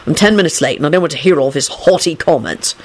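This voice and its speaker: A woman's voice: sits low, quick pace. Woman voicing a brusque, irritable man. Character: brusque, irritable, foul-mouthed grown man.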